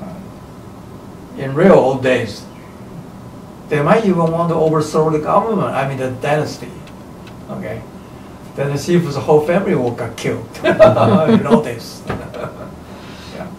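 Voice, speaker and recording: A man in his seventies from Taiwan with a Taiwanese accent, a kung fu teacher giving opinionated interview answers in halting, emphatic non-native English with repeated short phrases. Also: fairly high pitch, animated. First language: Chinese. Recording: roomy, distant mic, faint background noise.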